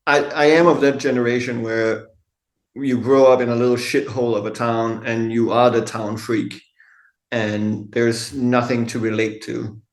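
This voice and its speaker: A man's voice: medium pitch, level tone, normal speed. A middle-aged Danish man with a Danish accent, a tattoo artist chatting candidly as a podcast guest, laid-back, swearing casually and laughing often. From Denmark. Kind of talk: casual interview conversation. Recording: echoey room.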